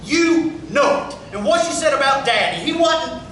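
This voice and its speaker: A man playing a wounded, indignant working-class man who pleads haltingly and forcefully in a stage play table reading. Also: extremely high pitch, lively intonation. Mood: aggrieved, pleading. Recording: echoey room, distant mic, faint noise.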